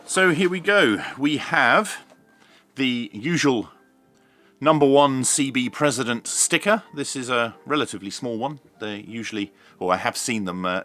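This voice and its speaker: A man's voice: mid-range voice, fairly bright tone. A British man presenting an unboxing review as host, with a jokey, tongue-in-cheek manner. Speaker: host of a radio review channel.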